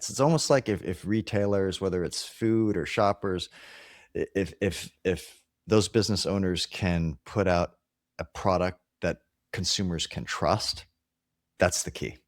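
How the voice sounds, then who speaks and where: A man's voice: deep voice, no room sound, clean studio sound. A man from Hawaii hosting an interview podcast, talking casually with lots of fillers and restarts. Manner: rambling, many fillers and restarts. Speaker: podcast host.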